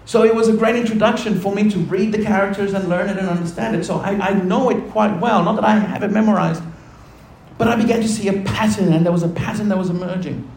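A man preaching a sermon to his congregation, throwing rhetorical questions at them and shifting between quick asides and slow, low, weighty phrases. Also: steady tone, creaky, darkish tone, roomy sound, poor audio. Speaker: pastor.

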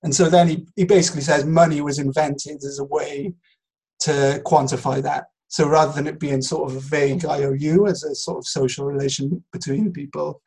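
A man's voice: on the high side, fairly even intonation, moderate pace, creaky, darkish tone, breathy edge, poor audio. A man in a casual intellectual discussion, explaining things in a hesitant, rambling and hedged way.

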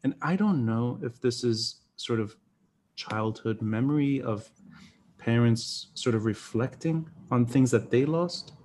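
A man's voice: medium pitch, unhurried, darkish tone, slight background noise. A man who grew up in Beirut, hosting a podcast interview in an earnest, searching way and often correcting himself. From Lebanon (Beirut). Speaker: podcast host. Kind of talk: conversational interview.

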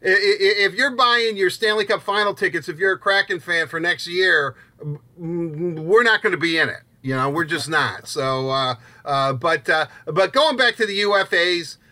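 A man co-hosting a casual sports discussion, often repeating words haltingly as he begins a phrase. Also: high voice, bright, forceful tone. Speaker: sports podcast co-host.

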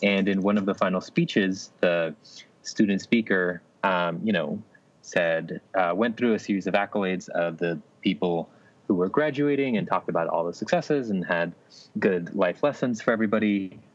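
Deep male voice, young adult, podcast co-host, understated, dry, hesitant, slightly lo-fi sound. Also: moderate pace, no room sound. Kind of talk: casual chat and anecdote. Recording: slightly dull, lo-fi audio.

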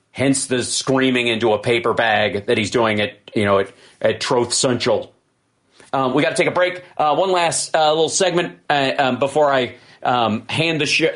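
A man filling in as host of a political talk radio show, talking fast and energetically with fillers and restarts. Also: medium pitch, fairly bright tone.

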